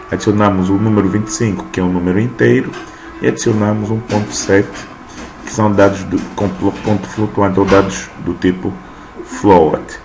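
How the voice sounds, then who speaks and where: A man in his thirties teaching programming while typing code on screen, often checking in with "tá?". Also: lowish voice. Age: 30s. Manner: talks while typing, frequent "tá?" check-ins. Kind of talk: screen-recorded coding lesson. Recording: noisy room ambience.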